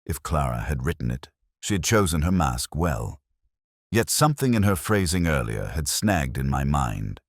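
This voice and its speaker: A man with an extremely deep voice narrates a literary mystery in the first person. His delivery is measured and solemn, with a lively rise and fall in pitch. The sound is dry and close, free of room echo.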